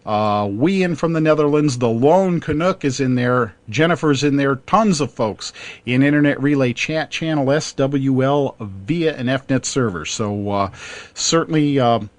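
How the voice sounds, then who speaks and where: Guy hosting an internet radio show, lots of uh pauses, dry sound. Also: medium pitch, no room sound. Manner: halting, many filler pauses. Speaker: host. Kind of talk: live netcast talk show hosting.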